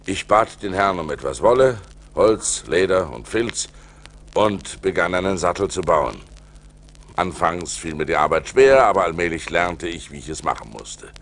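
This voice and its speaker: An actor playing an adventurous seafarer who recounts his ordeals theatrically in a German radio play, moving between hushed and forceful delivery. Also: sits low, animated, normal speed. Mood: vivid storytelling. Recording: noisy vinyl record transfer.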